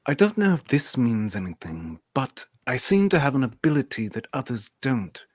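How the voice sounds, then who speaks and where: A woman playing a sultry, dreamy empath aboard a starship, halting and suggestive, in an amateur radio play. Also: a bass voice, very animated, a little mellow, no echo, clean studio sound, heavily acted. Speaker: podcaster acting in script reenactment.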